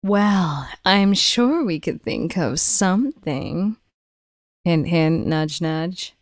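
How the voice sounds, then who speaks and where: A woman voicing a playful, suggestive woman in an amateur cartoon parody, teasing and a little halting. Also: on the low side, lively intonation, no room sound, clean studio sound, heavily acted.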